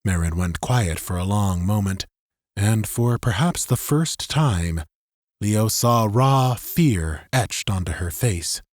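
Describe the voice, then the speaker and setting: A man narrates a story in a dramatic, scripted audiobook style. His pace is slow and his intonation moves expressively. The sound is very dry, with no room around the voice. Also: lowish voice, expressive, no room sound.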